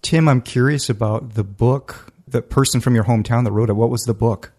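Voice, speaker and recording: A male podcast host with an upbeat, friendly manner. He reads a scripted introduction, then moves to interview questions, with a voice of middling pitch. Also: fairly quick, a little mellow.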